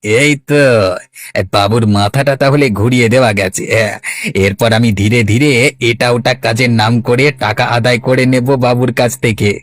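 A man's voice: medium pitch, fairly even intonation, normal speed, no room sound, lightly acted. A man playing a sly, scornful assistant to a rich villager in a cartoon, speaking brusquely. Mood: gloating, scheming. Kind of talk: Bengali cartoon voice acting.

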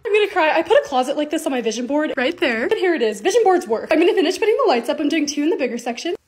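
A young woman in her twenties with a slightly raspy voice, chatting excitedly in a casual voice-over while organizing her home. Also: high-pitched. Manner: chatty, excited, quick and bubbly. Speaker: TikTok creator.

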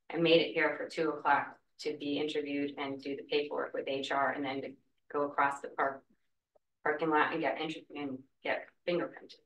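A woman's voice: low-pitched, fairly bright tone, recorded from a distance. Woman pleading from a podium, echoey room. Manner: earnest, emotional plea. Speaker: school cafeteria worker speaking at public comment. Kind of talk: public comment at a school board meeting. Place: meeting room, at a wooden podium. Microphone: podium mic, heard from a far camera. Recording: echoey room, voice heard from afar.

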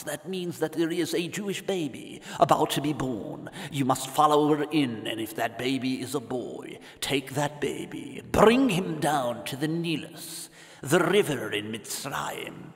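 A man voicing a cruel, scheming Egyptian king who talks low, hushed and calculating. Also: big-room sound. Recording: music playing behind.

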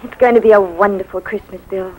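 A woman's voice: mid-range voice, fairly even intonation, fast, close to an everyday voice. An actress playing a tender, tired young widowed mother, sweet and genteel, in a 1950s radio drama. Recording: noisy 1950s radio broadcast.

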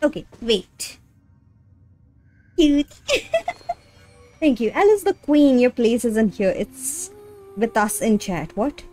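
A woman with an Indian accent chats with her livestream audience in a high, very animated voice. She is playful and giggly and likes to repeat short phrases. Game music plays behind her. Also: takes it slowly, pauses often. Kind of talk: casual livestream chat while gaming.

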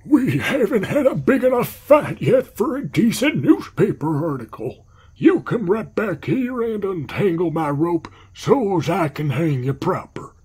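Cantankerous old frontier judge, swooping delivery. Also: male voice, high-pitched, sing-song, unhurried, a little mellow, slightly rough, exaggerated voice. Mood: outraged. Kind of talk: cartoon comic dub acting.

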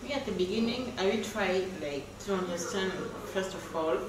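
A woman's voice: mid-range voice, moderate pace, background noise. A young woman, a guest speaking hesitantly with many fillers. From Gabon. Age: young adult. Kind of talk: casual group discussion. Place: living room, seated on a couch. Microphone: far camera. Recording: roomy echo from a distant mic.

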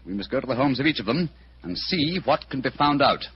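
An actor playing an exacting English gentleman detective in a radio drama, incisive and articulate. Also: medium pitch, animated, fairly quick, over loud music, lightly acted. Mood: commanding. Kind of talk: old-time radio drama acting. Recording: dull, hissy old radio recording.

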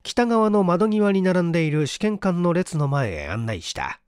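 A male narrator reads literary fiction as an audiobook. His pitch is a little high for a man and very animated, and the recording is close and dry. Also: normal speed, clean tone, dry recording. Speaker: audiobook narrator and stage actor.

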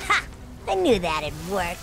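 A woman's voice: on the low side, wildly swooping pitch, measured pace, vocal fry, very noisy, heavily acted. An actress playing a sly, playful female villain in a video game.

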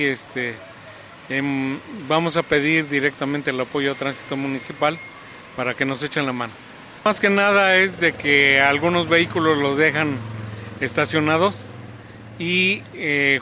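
A middle-aged Mexican man, a town official, answering questions in a halting way with many fillers and restarts, outdoors on a street. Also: a little high, bright, forceful tone, slight background noise. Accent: Mexican Spanish. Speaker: municipal energy-saving director. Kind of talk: on-street interview. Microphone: handheld reporter mic close up.

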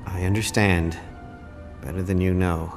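A grave scientist doctor in a game cutscene. His voice is very low, with a serious, measured and cautious delivery. Music plays clearly underneath. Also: steady tone. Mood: grave, sympathetic. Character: grave, learned male doctor, cautious superhero ally. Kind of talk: video game cutscene acting.

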